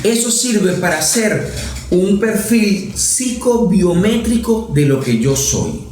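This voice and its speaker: A Venezuelan man talking as an interview guest, professorial and analytical, illustrating each point with examples. His voice sits a little high for a man. The room around him is strongly echoey. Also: very animated, slow, darkish tone, slight background noise. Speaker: lawmaker guest. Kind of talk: interview conversation.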